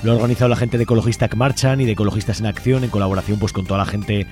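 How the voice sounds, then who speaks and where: A man's voice: fairly low pitch, fairly even intonation, rapid-fire, a little mellow, faint music behind. A man with an accent from Spain giving an informal radio report as a host, often tagging his phrases with a little question. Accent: Spanish from Spain. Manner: frequently ends phrases with a questioning tag.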